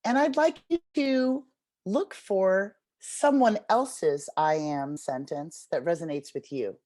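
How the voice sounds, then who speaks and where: A woman leads an online workshop on a video call. Her voice is relaxed and mellow, at a medium pitch. She gives instructions to the group in an encouraging way. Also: slow. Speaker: workshop facilitator. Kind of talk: guiding an online group writing activity.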